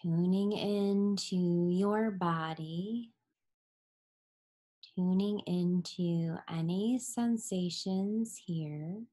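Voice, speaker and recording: A woman leading a guided relaxation as a yoga instructor, soothing and unhurried, with long pauses and breathy phrase endings. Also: fairly low pitch, dark tone.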